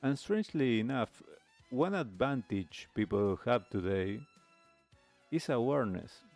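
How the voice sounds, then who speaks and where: A man hosting a talk show, delivering a reflective monologue in measured, deliberate phrases with pauses. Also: medium pitch, expressive, a little mellow, soft background music. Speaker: radio show host.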